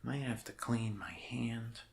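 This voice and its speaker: A man with an American accent playing a friendly, rambling doctor in a soft-spoken ASMR roleplay, halting, reassuring and dryly jokey. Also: mid-range voice, creaky, a little hiss or hum. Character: friendly, rambling human doctor welcoming an alien to Earth.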